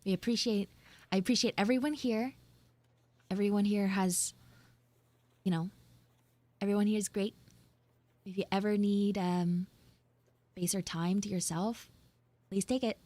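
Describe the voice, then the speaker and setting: A woman streamer talks casually to her viewers at a medium pitch. Her delivery is informal and a bit halting, and the sound is close and dry. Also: fairly even intonation, darkish tone, no room sound. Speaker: livestreamer and VTuber host.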